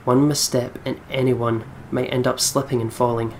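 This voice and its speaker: A man's voice: medium pitch, flat delivery, moderate pace, a little hiss or hum, low-quality recording. A man reading the narration of a visual novel aloud as a let's play host. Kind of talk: scripted narration read aloud. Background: game music with guitar.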